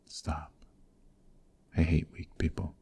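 A man performs a young mafia heir in an ASMR roleplay, stern, cold and used to giving orders. His voice sits extremely low, with a dark, mellow tone and a gravelly creak. He speaks in clipped, menacing commands. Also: monotone, takes it slowly, heavily acted. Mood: stern, commanding. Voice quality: gravelly, very deep. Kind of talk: ASMR roleplay, scripted acting.

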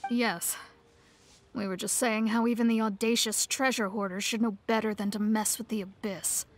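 A woman's voice: a little high, steady tone, normal speed, slight background noise, close to an everyday voice. A woman playing a composed young guild branch master in a video game, explaining matter-of-factly. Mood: composed, informative.

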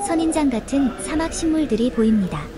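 A woman narrating a travel vlog in a calm, explanatory way, describing the sights as she walks. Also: high voice, quick pace, crowd noise. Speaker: travel vlogger.

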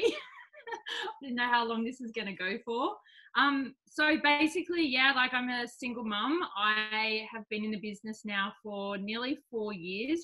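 A woman in her forties talks through a laptop webcam from a small home office. Her voice is bright and forceful but a little croaky tonight, and she tells her story in an open, chatty, self-deprecating way. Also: a little high, poor audio. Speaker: guest speaker. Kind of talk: casual personal story on a video call. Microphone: laptop webcam on a video call.

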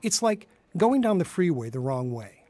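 Earnest young pastor, fairly high voice. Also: male voice, very animated, normal speed, mellow. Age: young adult. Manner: earnest, instructive. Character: young, gentle, earnest pastor explaining salvation. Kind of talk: radio drama dialogue.

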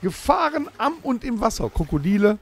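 A man's voice: sits high, very animated, moderate pace. A man co-hosting a comedic improvised audio play, reading out rules with eager, insistent emphasis. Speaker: podcast co-host.

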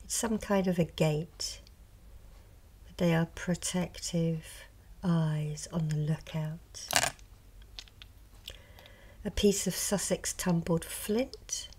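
A middle-aged woman, a reiki healer, speaking softly and slowly in a partly whispered show and tell, a little hoarse from a cold. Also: lowish voice, fairly even intonation. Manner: slow, soft, partly whispered. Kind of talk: soft-spoken ASMR show and tell. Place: indoors at a table. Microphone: close mic over a tabletop.

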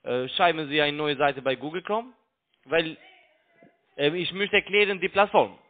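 A man with a foreign accent and non-native, halting German with broken grammar, making an unscripted sales call over the phone as a broker. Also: fairly high pitch. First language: not German. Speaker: cold caller posing as a broker. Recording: tinny narrow phone line.

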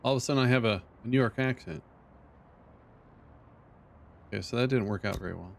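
An older man streaming a strategy game from his home, talking to himself in quiet, low-key asides. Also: rapid-fire, vocal fry, a little hiss or hum. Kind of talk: casual let's play commentary. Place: home room, seated in a dark chair.

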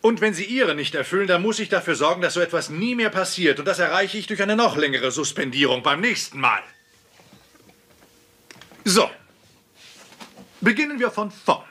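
An actor in a German sitcom dub playing a stern, condescending school principal who lectures in a formal, pompous manner. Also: male voice, high voice, lively intonation, moderate pace, pauses often, bright, forceful tone, exaggerated voice. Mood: indignant, lecturing.

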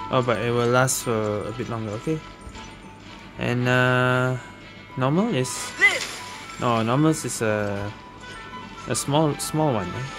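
A man giving casual gameplay commentary as a gaming host, dropping colloquial tags like lah and eh. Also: mid-range voice. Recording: game music behind the voice. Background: video game music.

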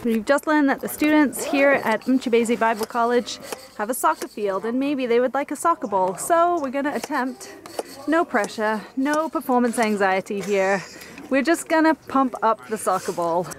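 A woman's voice: on the high side, lively intonation, moderate pace, a crowd in the background. A young woman filming herself at arm's length on a trip, talking with bubbly enthusiasm about what her team is seeing. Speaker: team member on a learning trip. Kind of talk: travel vlog selfie narration. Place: outdoors at a rural mission and indoors in hospital rooms. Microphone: handheld camera at arm's length.

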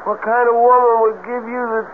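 High, faltering, dying man. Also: little pitch movement, brisk. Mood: pained, bitter. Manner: gasping, faltering, taunting. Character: dying, bitter, mocking country man. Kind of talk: 1970s radio drama acting. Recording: tinny, faintly noisy 1970s radio broadcast.

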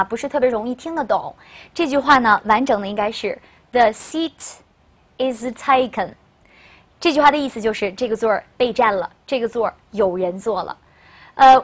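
A young woman teacher presenting a lesson to camera, explaining to learners and stressing key phrases. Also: on the high side. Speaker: English teacher. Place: indoor studio.

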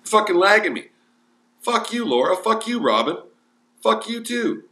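Profane ranting man, bright and echoey. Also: high voice, sing-song, moderate pace, a little hiss or hum. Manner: crude, cursing, grumbling. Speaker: livestream host. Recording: roomy echo with faint music.

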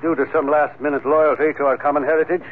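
A man's voice: fairly high pitch, steady tone, quick pace, natural voice. Doctor role, male actor: dry, wordy, sardonic fatalist, vintage radio drama, tinny with light noise. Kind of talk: 1940s radio adventure drama acting. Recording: tinny, noisy 1940s radio recording.

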